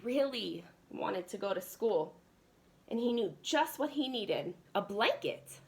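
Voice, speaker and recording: A woman's voice: mid-range voice, lively intonation, slow pace, gravelly. A woman reading a children's storybook aloud as a preschool teacher would, expressively addressing young children.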